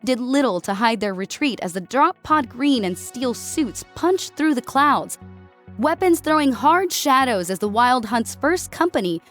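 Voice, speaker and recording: A woman's voice: fairly high pitch, expressive, unhurried, faint music behind. A woman giving a dramatic scripted narration of an action story as an audiobook narrator.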